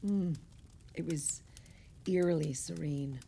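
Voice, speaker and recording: A woman's voice: low voice, slow pace, gravelly, mellow, a little hiss or hum, exaggerated voice. An actress playing an earnest, exasperated woman with wry opinions, speaking emphatically in conversational radio play dialogue.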